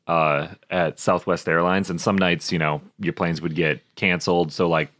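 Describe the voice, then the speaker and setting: Very low-voiced man, dry studio sound. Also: steady tone, normal speed, fairly bright tone, no echo. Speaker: podcast co-host. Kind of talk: casual podcast banter and reading from notes.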